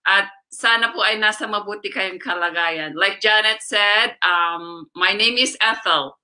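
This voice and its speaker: A woman's voice: mid-range voice, a little slow, sharp tone. A middle-aged woman with a Filipino accent, a guest telling her story conversationally and slipping between English and Tagalog. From Philippines. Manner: mixes English with Tagalog phrases. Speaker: interview guest.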